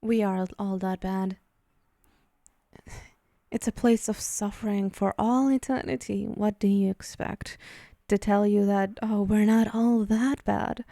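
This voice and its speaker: Woman acting as a female demon, possessive, teasing menace, mellow hushed tone, unhurried delivery, close dry sound, ASMR roleplay. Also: medium pitch, steady tone, gravelly, no echo. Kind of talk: ASMR roleplay, addressing the listener.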